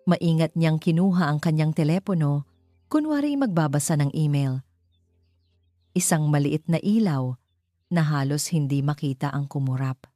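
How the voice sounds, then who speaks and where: Low, mellow Filipino woman narrating over music. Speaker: story narrator. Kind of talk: scripted dramatic story narration. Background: background music.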